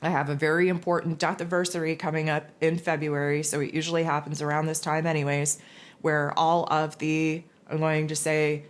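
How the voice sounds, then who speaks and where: A raspy, hoarse woman hosting a spiritual forecast, talking in a loose, rambling casual monologue. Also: deep voice, little pitch movement, brisk. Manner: rambling, loose, with many fillers and restarts. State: hoarse from losing her voice.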